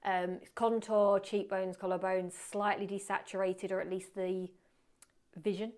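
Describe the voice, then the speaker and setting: A woman teaching photography in a live webinar, chatty and self-deprecating, muttering quietly to herself as she works at her computer. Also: mid-range voice, flat delivery, a little slow. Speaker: photography instructor. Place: indoors at a computer.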